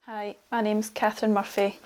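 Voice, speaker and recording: A woman in her mid-thirties explains things calmly and clearly. Her pitch barely moves, which gives her an even tone. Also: normal speed. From Scotland. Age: 30s. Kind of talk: personal testimony.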